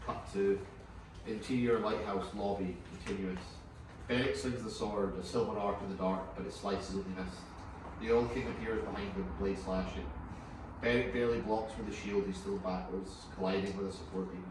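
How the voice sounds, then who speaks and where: Low-voiced man narrating, very echoey. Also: steady tone, moderate pace. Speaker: narrator reading stage directions. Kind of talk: scripted narration at a table read. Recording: very echoey room, far mic, clear noise.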